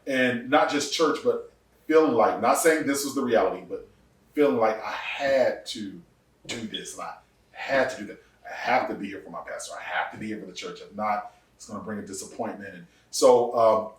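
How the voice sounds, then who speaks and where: A man in his forties, a pastor, telling his testimony in a casual podcast interview, laughing often and jumping up in pitch for punchlines. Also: far from the mic. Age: 40s. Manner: animated storyteller, laughs often, rises sharply for punchlines. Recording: echoey room, voice off-mic.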